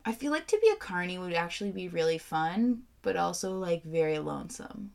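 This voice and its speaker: A woman's voice: lowish voice, big pitch swings, fairly quick, creaky, darkish tone. A young woman co-hosting a casual beer-tasting podcast, joking and swearing freely as she rates beers. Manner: swears freely, loose and playful. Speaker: podcast co-host.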